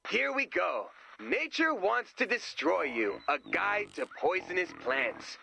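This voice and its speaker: Naive goofy sidekick, bright creaky voice, earnest, chatty, nerdy, video game. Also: male voice, high-pitched, huge pitch swings, measured pace, a little hiss or hum, heavily acted. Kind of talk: video game character voice lines.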